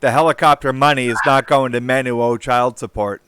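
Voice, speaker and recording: A man's voice: medium pitch, fairly even intonation, fairly quick, fairly bright tone, clean tone, dry recording. A man in a casual online group chat, agreeing often with short remarks. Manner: agreeable, frequent short affirmations. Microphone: online call.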